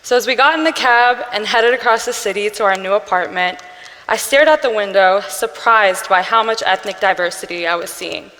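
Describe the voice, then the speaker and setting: A young woman giving an earnest personal storytelling speech, measured, with pauses between phrases. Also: medium pitch, pushed and bright. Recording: long reverb from a hall-like room.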